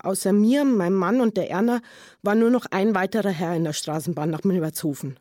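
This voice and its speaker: A woman's voice: medium pitch, a little fast, dark tone, no room sound, lightly acted. Dry, close-sounding Bavarian witness, acted by a woman. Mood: earnest, insistent. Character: earnest married Munich woman giving witness testimony. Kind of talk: radio drama witness monologue.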